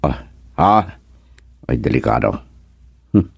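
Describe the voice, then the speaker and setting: Ilocano village simpleton male actor for radio comedy: mischievous, hesitant, repeats himself, dry close sound, unhurried. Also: extremely low pitch, very animated, creaky, slightly rough, dry recording, heavily acted. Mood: pleased, dim. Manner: hesitant, humming and repeating words.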